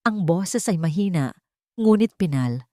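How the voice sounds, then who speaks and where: A woman with a Filipino accent narrating a scripted romance story in measured, dramatic phrases. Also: lowish voice, expressive, warm tone, no room sound, studio quality.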